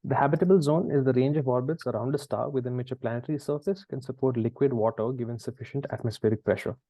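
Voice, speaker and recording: A man's voice: mid-range voice, steady tone, fast, mellow, no echo. A man hosting a quiz, reading questions and chatting conversationally with the players. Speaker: quiz host.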